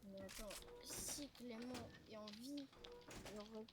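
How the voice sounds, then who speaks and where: A woman's voice: fairly high pitch, a little slow, lightly acted. Noisy recording, grumbling young survivor, woman acting. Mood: pondering. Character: grumbling, wounded survivor of zombie apocalypse. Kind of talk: improvised amateur game roleplay. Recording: noisy home recording with clicks.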